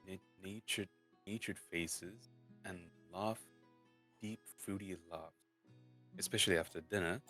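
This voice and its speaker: A man reading a storybook aloud in a halting way, stumbling and repeating words as he goes. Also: low voice. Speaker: streamer reading a novel aloud. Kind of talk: dramatic storybook reading, unrehearsed. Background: soft lofi guitar music.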